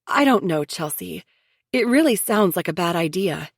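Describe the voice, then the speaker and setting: A wary young widow, as an audiobook narrator puts her on answers carefully and dryly. Her voice sits fairly low and plain. The studio sound is close and dry. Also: lively intonation, moderate pace, no room sound. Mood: cautious. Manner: dry, cautious. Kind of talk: audiobook character voice.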